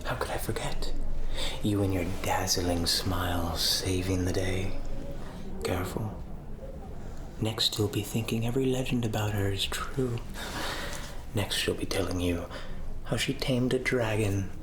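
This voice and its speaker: A man playing a suave, teasing aristocratic vampire in a soft-spoken ASMR roleplay, with a smooth, insinuating manner. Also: on the low side, background noise, lightly acted. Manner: smooth, insinuating, unhurried, mysterious.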